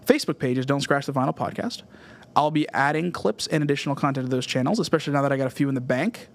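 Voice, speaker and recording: A man's voice: mid-range voice, quick pace, gravelly. A man from Southern California, hosting a solo music podcast in an earnest, scripted essay style. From Southern California, USA. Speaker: podcast host.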